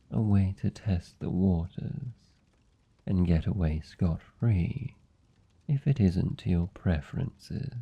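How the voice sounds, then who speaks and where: A man's voice: sits low, vocal fry, husky, highs cut off. A British man playing a suave, seductive vampire lord in a fantasy ASMR roleplay, speaking formally and teasingly with commanding, predatory politeness. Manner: teasing, formal, silky and deliberate. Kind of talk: fantasy ASMR roleplay, slow intimate monologue.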